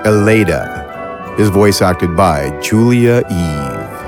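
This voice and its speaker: A man reading scripted podcast credits as the announcer, in a measured, formal way. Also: low-pitched, lively intonation. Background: music.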